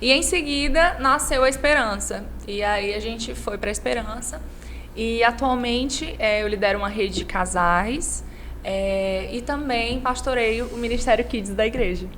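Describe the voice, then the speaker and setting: A woman's voice: fairly high pitch, measured pace, fairly bright tone, slight background noise. A woman pastor from Imperatriz, telling her life story as a podcast guest. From Imperatriz, Brazil. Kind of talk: personal storytelling.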